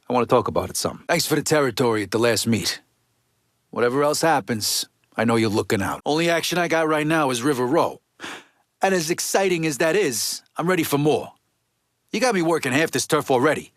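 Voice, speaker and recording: An actor playing a gruff, impatient middle-aged American mob underboss in a video game, with blunt, profane, streetwise talk. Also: male voice, fairly high pitch, fairly bright tone, no echo, studio quality. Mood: businesslike, insistent. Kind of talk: video game dialogue acting.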